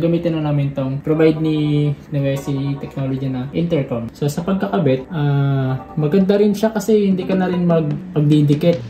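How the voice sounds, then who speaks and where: A man's voice: fairly high pitch, reverberant. A man with a Filipino accent giving a casual step-by-step product tutorial as a vlogger, mixing in English terms. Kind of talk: casual unboxing and installation tutorial.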